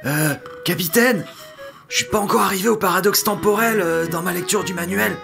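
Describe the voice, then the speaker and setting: A woman's voice: medium pitch, brisk, creaky, over music, natural voice. A woman playing a hesitant young ensign, nervous and by-the-book, in an audio comedy serial. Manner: hesitant, timid.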